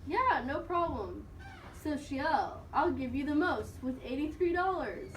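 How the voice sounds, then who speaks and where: High-voiced young woman as a broke, generous puppet pal. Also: animated, measured pace. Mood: friendly, obliging. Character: broke, generous puppet friend living in a box. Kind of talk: amateur school puppet show. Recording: echoey room, distant mic, background noise.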